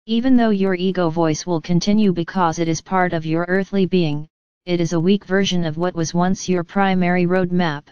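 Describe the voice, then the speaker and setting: A woman narrating a channelled spiritual message in a measured, earnest reading addressed to the listener. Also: on the low side, a little mellow, clean tone, no echo. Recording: slightly dull, dry lo-fi voice-over.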